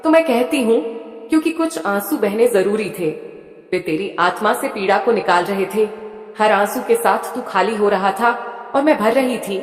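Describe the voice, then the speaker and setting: A woman's voice: mid-range voice, moderate pace, soft background music, close to an everyday voice. A woman voicing a tender, motherly goddess who soothingly consoles a grieving devotee in an intimate devotional monologue. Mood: consoling. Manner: soothing, intimate second-person address. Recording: echoey with long hall reverb.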